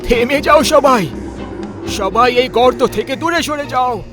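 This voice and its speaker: A man's voice: very high voice, unhurried, music in the background. A man voicing a stern, commanding old grandfather in a dramatic horror cartoon. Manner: authoritative, ordering, dramatic.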